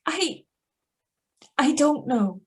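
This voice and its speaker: A woman acts out a guilt-ridden young woman hiding a secret in a radio play. Her voice is high and her delivery unhurried, with an anguished, pleading manner. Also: lively intonation, full character voice. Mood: torn, pleading. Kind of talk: live radio drama reading with first-person narration.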